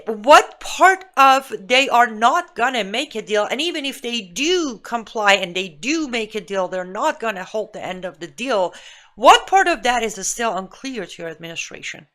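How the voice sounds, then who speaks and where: Forceful Iranian-accented woman commentator. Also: mid-range voice. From Iran. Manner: emphatic, indignant, rhetorical questioning. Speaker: political commentator and show host. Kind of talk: live political commentary monologue.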